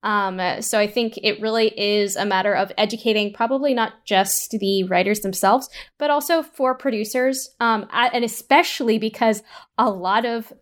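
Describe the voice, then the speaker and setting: A woman's voice: mid-range voice, normal speed. A woman speaking conversationally as an interview guest, feeling her way through points in a rambling, hesitant manner. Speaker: audio drama writer and producer.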